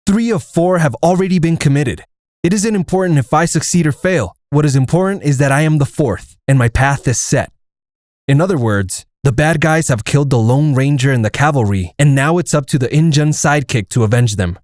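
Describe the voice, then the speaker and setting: A man playing a stoic, fatalistic Navajo army air force lieutenant in an audio comic, solemn and measured. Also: a little high, lively intonation, a little mellow, dry recording, studio quality, lightly acted. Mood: determined, grim. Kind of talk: dramatic first-person narration and dialogue in an audio comic.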